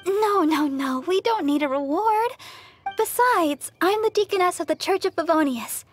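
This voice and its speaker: A woman playing a sweet, earnest young church healer in an anime-style video game, polite and gentle. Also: very high voice, lively intonation, normal speed, slight background noise. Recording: loud game music behind.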